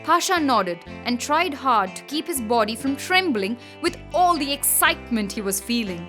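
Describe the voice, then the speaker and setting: A woman narrating a children's bedtime story in an expressive, scripted style. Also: high voice, moderate pace. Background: background music.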